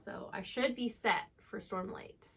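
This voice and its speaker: A woman with a voice of average pitch chats as a book channel co-host. She explains herself in a casual, conversational way. Her sentences are loose and sprinkled with "like". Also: unhurried, a bit bright, husky.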